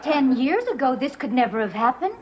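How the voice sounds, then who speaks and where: Airhead rich wife, high floaty voice, some hiss. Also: female voice, very animated, a little fast, highs cut off. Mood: dithering. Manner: airy, dithering. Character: scatterbrained, fluttery wealthy wife. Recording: old TV sitcom with hiss.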